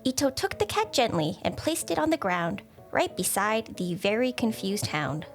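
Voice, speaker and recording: A woman storyteller with a high, very animated voice, reading rhyming narration theatrically. Music plays clearly behind her. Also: normal speed. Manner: theatrical, expressive.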